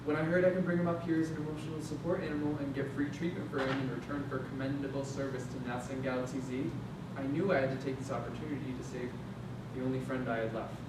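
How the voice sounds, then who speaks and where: Man playing sarcastic, bitter young space maintenance worker, stage reading, confrontational and slangy, heavy echo, noisy rumble behind. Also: steady tone, fast talker, natural voice. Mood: wounded, disappointed. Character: brash, resentful, foul-mouthed young male space maintenance worker. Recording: very echoey room, distant mic, noisy rumble.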